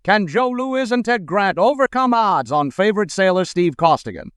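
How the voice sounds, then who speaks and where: Casting: male actor as 1940s radio announcer, high-pitched, crisp delivery, dry close sound, reading city listings. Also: expressive, normal speed, fairly bright tone, dry recording. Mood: brisk showmanship. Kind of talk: 1940s radio acting, scripted event listings.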